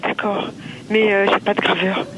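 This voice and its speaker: A woman's voice: mid-range voice, level tone, normal speed, fairly bright tone. Female phone caller, polite, hesitant, natural unscripted talk, light noise on the line. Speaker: customer calling a shop, teacher. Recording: noisy telephone line.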